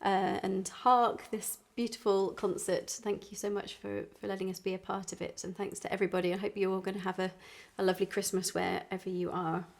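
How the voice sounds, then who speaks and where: A woman's voice: medium pitch, flat delivery, a little fast, gravelly, hardly any pauses. Chatty woman musician, informal. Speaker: musician in a band. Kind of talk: casual chat to a concert audience.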